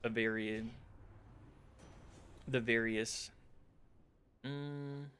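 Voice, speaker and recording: A man streaming a video game, chatting casually in a rambling, self-deprecating way with animated asides. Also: medium pitch, very slow, clean tone. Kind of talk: casual chat while commenting on gameplay. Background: game music.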